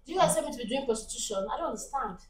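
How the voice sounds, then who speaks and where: Actress as exasperated young Nigerian tradeswoman standing her ground; high, animated, naturalistic drama delivery on a noisy recording. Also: very fast, natural voice. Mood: indignant. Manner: protesting, exasperated, repeats herself. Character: indignant young female home-service barber fending off harassment. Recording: distant mic, echoey room, noisy.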